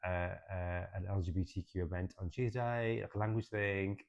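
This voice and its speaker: A young man giving a casual, expressive tarot and astrology reading, chatty and swearing now and then, with "right?" tacked onto his points. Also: low-pitched, moderate pace, dull audio. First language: English.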